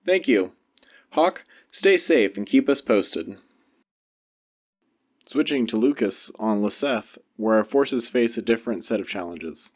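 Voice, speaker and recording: A man's voice: lowish voice, animated, unhurried. A man playing a composed, formal anchor handing over to field reporters in a wartime-style sci-fi radio broadcast. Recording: dull lo-fi broadcast sound.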